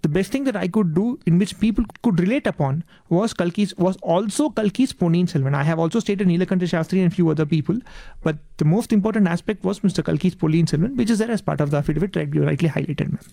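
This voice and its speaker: A man's voice: sits high, quick pace, vocal fry, dark tone, no echo. A man with an Indian accent, a native Tamil speaker, giving earnest interview answers and often saying "yes" and "ma'am". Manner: earnest, repeats words, addresses host as ma'am. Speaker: guest.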